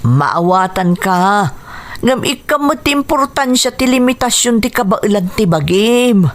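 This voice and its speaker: A woman's voice: medium pitch, lively intonation, measured pace, natural voice. A woman acting in an Ilocano radio drama as a boxer's anxious, supportive young friend. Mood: concerned, supportive.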